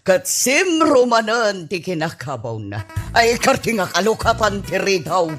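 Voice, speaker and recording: A woman's voice: medium pitch, sing-song, unhurried, over music. A woman acting an exasperated but amused character who exclaims at a confused old man in an Ilocano radio comedy drama, with an Ilocano accent. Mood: musing.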